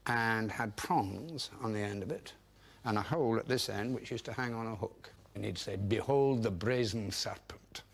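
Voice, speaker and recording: A man's voice: lowish voice, moderate pace, vocal fry, few pauses, husky. An older man, reminiscing in an interview.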